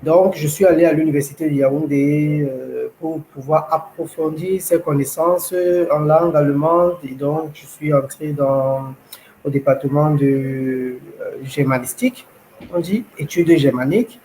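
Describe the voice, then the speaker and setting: Young man, school founder, interview guest; measured, halting delivery, a touch high-pitched, faint hiss. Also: warm tone, low-quality recording. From Cameroon. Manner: measured, hesitant with many fillers and repeats. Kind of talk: interview, personal account.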